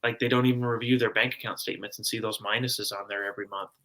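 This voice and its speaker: A man's voice: fairly low pitch, steady tone, quick pace, bright, forceful tone. A man from the United States, an interview guest, explaining and advising in a casual, plainspoken way. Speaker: guest, personal finance author.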